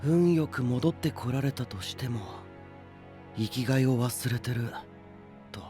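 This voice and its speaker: A man playing a thoughtful, inquisitive young knight in an anime-style voice drama, casual and expressive. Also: mid-range voice, unhurried, soft background music, lightly acted. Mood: concerned.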